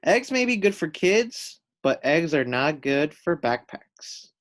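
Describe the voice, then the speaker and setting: A man's voice: on the high side, big pitch swings, slow, fairly bright tone, full character voice. A man voicing a proud, supportive young girl in a children's storybook read-aloud. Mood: grossed out.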